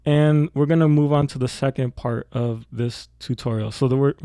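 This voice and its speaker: A man explaining audio editing informally, thinking aloud as he works through a software tutorial. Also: medium pitch, fairly even intonation, moderate pace, no room sound, studio quality.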